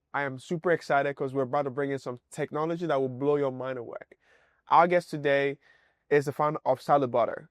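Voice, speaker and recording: Guy hosting a founder podcast, hyped and emphatic, higher voice, dry close sound. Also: no echo. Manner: upbeat, hype, emphatic. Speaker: podcast host. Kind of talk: enthusiastic show intro and interviewing.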